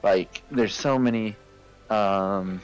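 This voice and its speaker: A man in a casual voice chat, explaining things in a rambling, halting way. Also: lowish voice, rough recording. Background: faint music.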